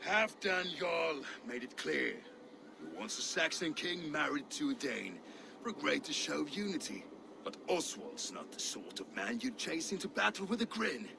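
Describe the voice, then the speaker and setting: An actor playing a blunt, scornful, sardonic warrior adviser in a video game cutscene. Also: male voice, on the high side, big pitch swings, moderate pace, gravelly, noisy background. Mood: wry, blunt. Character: gruff, scornful male Danish warrior ally, blunt adviser.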